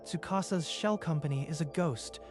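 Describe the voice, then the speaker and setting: A man's voice: fairly high pitch, moderate pace, music in the background, natural voice. A man voicing an anxious, earnest young underdog in a dramatized manga dub, speaking with intensity. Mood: determined.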